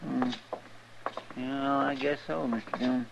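An actor playing a loyal, deferential frontier marshal's helper with a folksy rural American drawl, in a 1950s radio western. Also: male voice, mid-range voice, flat delivery, unhurried, creaky. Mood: puzzled, earnest. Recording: dull, hissy 1950s radio recording.

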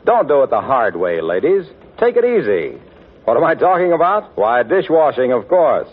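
Male radio announcer, animated pitch, slightly rough voice, folksy sales style, tinny 1940s recording. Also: normal speed, slight background noise. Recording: tinny, narrow-band vintage radio recording.

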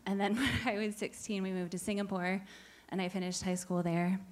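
A woman's voice: medium pitch, fairly even intonation, brisk. A woman in her forties from the United States answers questions in a church service, candid and a little nervous, working from notes. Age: 40s. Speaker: church search team member.

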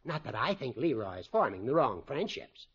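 An actor playing a fussy, meddling old judge with formal wording in a 1950s radio comedy. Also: male voice, mid-range voice, sing-song, normal speed, husky. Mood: dry, meddling. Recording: muffled 1950s radio recording.

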